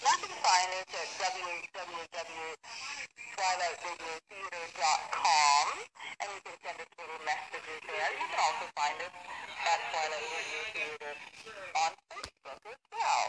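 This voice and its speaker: Animated woman on a very noisy line. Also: lowish voice, moderate pace. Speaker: theater company member, interviewee. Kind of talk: casual radio interview.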